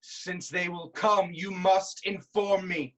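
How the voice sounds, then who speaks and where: An actor plays a proud king of ancient Thebes in a staged reading of Greek tragedy. The voice sits at a middle pitch. The delivery is formal and commanding, full of suspicion and hot-tempered accusation. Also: fairly even intonation, slow, fairly bright tone. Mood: outraged, scornful.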